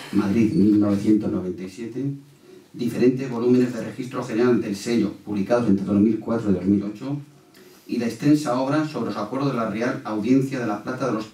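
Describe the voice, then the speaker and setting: An older man with a Spain Spanish accent presents a speaker from a written text. His voice is dark and of middling pitch, and the delivery is formal with occasional stumbles. The room echoes around him. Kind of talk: formal read introduction. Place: lecture room at a panel table. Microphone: gooseneck table mic, distant pickup. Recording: echoey hall, distant microphone.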